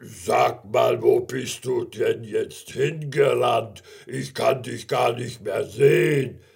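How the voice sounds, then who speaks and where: A man voicing a huge, lonely, affectionate brown bear in a children's story, with a deep, slow, grumbling delivery. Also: vocal fry, a little mellow, husky, exaggerated voice. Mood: baffled. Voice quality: deep, booming.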